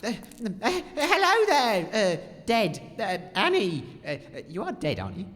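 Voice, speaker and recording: A performer playing an excitable, gullible male radio reporter in a comedy audio drama, swinging between amazement and deadpan doubt. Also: mid-range voice, normal speed. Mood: awed, excited. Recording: long hall reverb.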